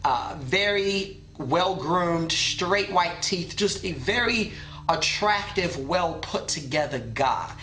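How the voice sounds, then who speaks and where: A young man telling a personal story in a soft, reflective way, with many pauses and fillers. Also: high-pitched, expressive, roomy sound, a little hiss or hum, low-quality recording.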